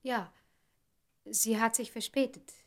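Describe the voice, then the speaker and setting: Higher-pitched, polite secretary. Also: female voice, fairly even intonation, slow pace, a bit bright. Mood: polite, informative. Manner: matter-of-fact, attentive. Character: prompt, polite female secretary. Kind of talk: radio drama acting.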